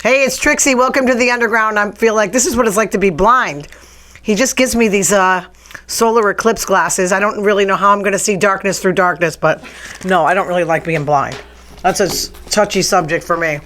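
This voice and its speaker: A woman's voice: mid-range voice, brisk, a bit bright. Chatty, blunt woman. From New England. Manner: chatty, blunt, sarcastic rants. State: strained, nearly lost voice. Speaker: podcast host. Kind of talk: casual talk show chat.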